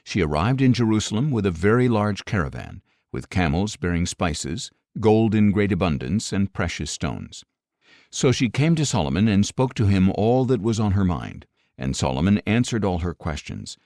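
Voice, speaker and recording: Low-voiced man reading scripture. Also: big pitch swings, normal speed, a little mellow, no room sound, studio quality. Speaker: audiobook narrator.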